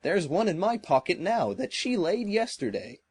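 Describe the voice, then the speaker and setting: A woman's voice: fairly low pitch, big pitch swings, normal speed, darkish tone, husky, no room sound. A woman playing a good-natured, earnest male scarecrow in a children's storybook reading. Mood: friendly.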